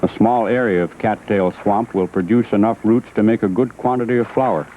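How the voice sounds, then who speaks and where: Low-voiced man narrating an old educational film. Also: a little fast, slight background noise. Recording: old 1980s film soundtrack, phone-like, loud music.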